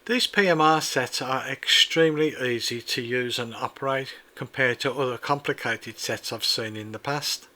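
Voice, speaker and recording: Guy reading out a gadget review, bright voice, careful step by step instructions. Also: mid-range voice. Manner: measured, precise, step-by-step instructions. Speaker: product reviewer. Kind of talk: scripted product review narration.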